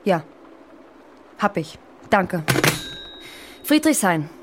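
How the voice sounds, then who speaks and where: A woman's voice: fairly low pitch, big pitch swings, drawn-out words, background noise, full character voice. An actress playing a sober, sceptical female police colleague in a German radio drama. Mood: businesslike.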